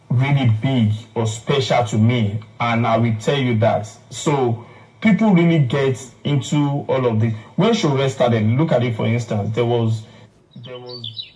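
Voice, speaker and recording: A Nigerian man delivers opinion commentary in a medium-pitched voice. He speaks haltingly, often repeating a phrase before finishing the thought. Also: a little mellow, poor audio.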